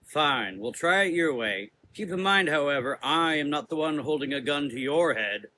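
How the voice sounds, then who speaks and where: A man's voice: on the high side, animated, moderate pace, sharp tone, slight background noise. A man voicing a nonchalant, sardonic male sorcerer in a gothic audio drama, courteous and wry. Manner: casual, wry.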